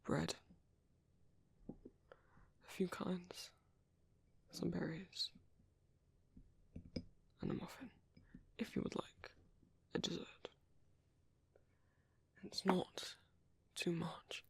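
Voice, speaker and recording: A British man playing a gentle, caring vampire priest in an ASMR roleplay, speaking softly, hesitantly and politely in a breathy, whispery voice up close. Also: sits high, flat delivery, drawn-out words, pauses often, exaggerated voice. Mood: attentive, hesitant. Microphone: close microphone.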